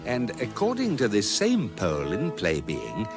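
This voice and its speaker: An actor playing the calm, erudite narrator of a galactic guidebook in a radio comedy, with measured, deadpan delivery. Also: male voice, fairly low pitch, over music. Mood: dry, measured. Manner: measured, dry, deadpan delivery of absurd statements. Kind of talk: scripted comic narration in radio drama.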